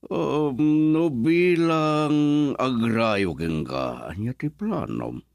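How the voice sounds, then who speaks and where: A man's voice: mid-range voice, expressive, very slow, gravelly, a little mellow, dry recording, studio quality, full character voice. A man with an Ilocano Filipino accent acting in a radio drama as a caring, observant middle-aged father of a grown daughter. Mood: probing.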